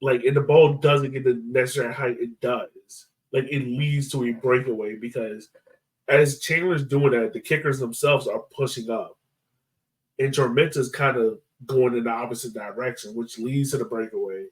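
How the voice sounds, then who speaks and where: A man's voice: mid-range voice, steady tone, moderate pace, far from the mic, poor audio. A man co-hosting a sports podcast, casually breaking down a soccer match and repeating himself as he thinks aloud.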